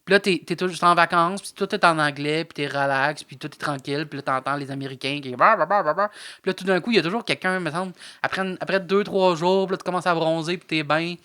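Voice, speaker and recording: A man's voice: on the high side, fast, pushed and bright, smooth. A man with a Québécois French accent, co-hosting a podcast in casual chat and telling stories with playful put-on voices. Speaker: podcast co-host.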